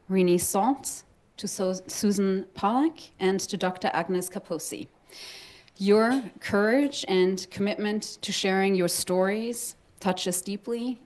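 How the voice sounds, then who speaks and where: A woman's voice: fairly low pitch, darkish tone. A woman from Germany, a foundation executive, giving a formal prepared speech that reads out a list of welcomes and thanks. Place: large museum hall.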